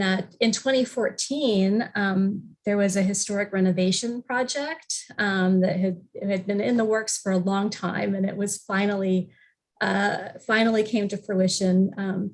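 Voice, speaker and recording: A woman's voice: medium pitch, darkish tone. A woman on a panel informally explaining history as a library representative, with very frequent hesitations as she goes.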